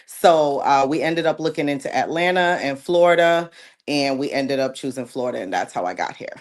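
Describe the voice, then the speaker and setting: A woman in her forties from Jamaica whose Jamaican accent comes through now and then, speaking as a guest in a casual interview, reflective and quick to agree. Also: extremely low pitch. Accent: Jamaican, coming through now and then. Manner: warm, reflective, often says "absolutely" and "thank you". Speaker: guest, plus-size model.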